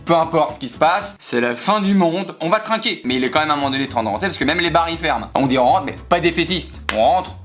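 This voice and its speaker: A young man telling a comic holiday story to camera, animated, full of sound effects and asides. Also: fairly high pitch, quick pace, few pauses, soft background music. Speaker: comedian storyteller.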